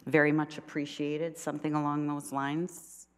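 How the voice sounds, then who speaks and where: Low voiced lady mayor running a council meeting, lots of restarts and ums. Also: little pitch movement, clear, resonant tone. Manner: halting, many restarts and fillers. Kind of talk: chairing a town council meeting.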